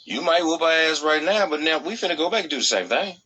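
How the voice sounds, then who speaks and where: American male co-host, forceful and raspy, blunt slang-heavy casual podcast banter. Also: a little high, fast, rough recording. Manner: animated agreement, blunt, repeats for emphasis.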